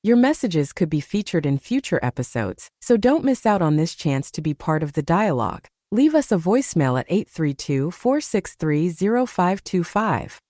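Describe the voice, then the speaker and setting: A woman reading a podcast promo, inviting, spelling out a phone number clearly. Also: lowish voice, very animated, normal speed, few pauses, dark tone, no room sound, clean studio sound. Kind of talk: read promotional message.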